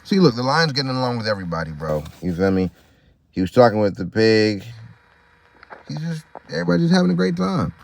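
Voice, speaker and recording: A man's voice: medium pitch, big pitch swings, brisk, gravelly. A man reading a storybook aloud, breaking off into slangy, informal asides and easily sidetracked. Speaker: storybook reader.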